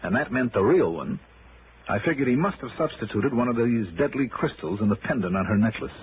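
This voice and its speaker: An American actor playing a tough, brisk insurance investigator in 1960s radio noir, with clipped, businesslike delivery. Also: male voice, medium pitch, expressive, a little hiss or hum, lightly acted. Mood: explaining. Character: tough, brisk freelance male insurance investigator, hardboiled and wry. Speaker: lead actor and narrator. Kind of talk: 1960s American radio noir acting and first-person narration. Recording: vintage radio recording, narrow band, music behind.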